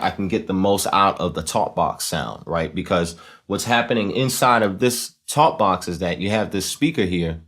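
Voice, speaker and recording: A man hosting an instructional series, explaining things in a casual, conversational way and often checking in with the listener. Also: low voice. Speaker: host of a talk box tutorial series. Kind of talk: casual explanatory tutorial talk.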